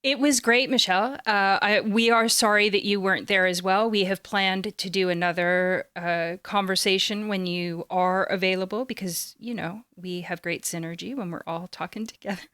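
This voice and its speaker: A woman co-hosts a podcast in a conversational way. Her voice sits at a middle pitch and is recorded dry. She often stops, repeats and corrects herself mid-sentence. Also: steady tone, normal speed, few pauses, a bit bright, clear, resonant tone, dry recording. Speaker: podcast co-host.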